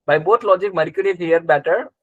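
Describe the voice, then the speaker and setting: Higher-pitched man teaching a class. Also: fast talker, no room sound, narrow, tinny audio. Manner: conversational, checks understanding with short questions. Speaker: astrology teacher. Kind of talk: live interactive class, explaining and quizzing students.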